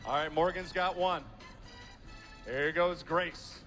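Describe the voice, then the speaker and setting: A man announcing a halftime game, excitable and animated. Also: a little high, slow pace, soft background music. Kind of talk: live event commentary.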